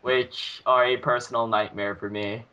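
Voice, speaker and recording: A lo-fi recording of a man with a bright, mid-pitched voice. He gives casual, conversational commentary. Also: steady tone, normal speed, clean tone. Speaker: fandub voice actor.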